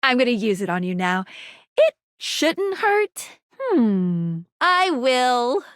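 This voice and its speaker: High, perky, geeky game girl. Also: very animated, takes it slowly, no room sound, clean studio sound. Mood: amazed, excited. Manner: peppy, quirky, slangy, stammers when flustered. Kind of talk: animated video game character dialogue.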